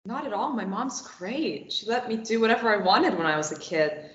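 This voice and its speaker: Woman reading a mouthy young woman tied up, snaps back fast, echoey room, kinda muffled. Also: medium pitch, sing-song, close to an everyday voice. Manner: quick retorts, tense and pleading when threatened. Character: defiant young woman held captive, sharp-tongued. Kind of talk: table read of a play.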